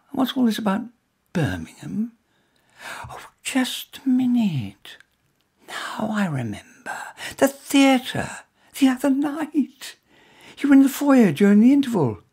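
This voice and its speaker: A man reading a short story, voicing a polite woman who questions a stranger with curious, brisk inquiry. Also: sits high, wildly swooping pitch, gravelly, dark tone, breathy edge, pitched above the performer's own voice, heavily acted. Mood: puzzled. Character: polite quizzical woman met on the street.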